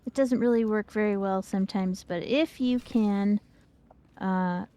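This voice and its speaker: A woman's voice: mid-range voice, unhurried, warm tone. A woman chatting casually as she plays a video game as co-host of a livestream. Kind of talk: casual chat while playing a video game.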